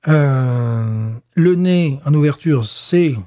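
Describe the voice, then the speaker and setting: A man's voice: on the high side, extremely slow, warm tone. A man co-hosting a podcast, tasting a beer in casual chat, hesitant with many fillers and restarts. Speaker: podcast co-host.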